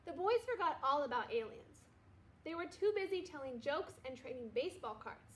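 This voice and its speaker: A woman, a primary school teacher, reading a children's storybook aloud in an expressive way. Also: high voice, moderate pace. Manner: expressive, acts out dialogue. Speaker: second-grade teacher.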